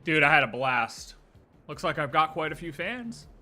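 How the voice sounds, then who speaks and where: A man's voice: fairly high pitch, moderate pace, a little hiss or hum. A man streaming a live game reaction, joking and rambling as he plays. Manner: animated, jokey, rambling.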